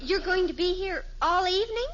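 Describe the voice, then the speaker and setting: A woman's voice: very high voice, lively intonation, a little fast, fairly bright tone. A woman playing a dramatic teenage older sister in a 1950s radio sitcom, affected and theatrical. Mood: interested, coy. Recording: muffled, lightly noisy old radio recording.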